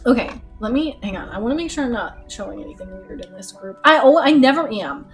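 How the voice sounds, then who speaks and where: A woman's voice: on the high side, expressive, fast. A young woman streaming live while she paints, chatting casually with lots of fillers, her nose stuffed up. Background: soft lo-fi music.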